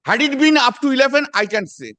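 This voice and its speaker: An older man with a Bengali Indian accent pleads a case in court. His voice is high-pitched and swings up and down a lot. He presses his point insistently, repeating short words and interrupting. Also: sing-song, quick pace, bright, forceful tone. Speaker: lawyer arguing before a judge. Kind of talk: courtroom argument.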